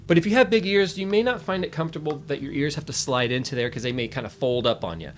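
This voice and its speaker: A man's voice: a little high, lively intonation, fast, slight background noise. A man giving a casual, jokey product review in a chatty way, often interrupting himself. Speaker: tech reviewer.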